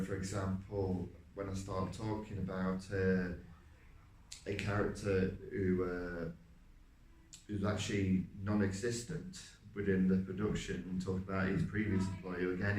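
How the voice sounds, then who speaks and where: A man with a deep voice, a stage actor talking through a role, hesitant with many fillers. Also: a little mellow, a little hiss or hum. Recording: roomy, from a distance.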